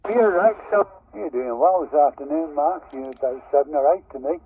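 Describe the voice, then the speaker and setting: A man's voice: a little high, lively intonation, normal speed, husky, no room sound, narrow, tinny audio. A man chatting casually as a radio operator, his voice coming over a CB radio. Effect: heard over CB radio. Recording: distant, noisy CB radio reception.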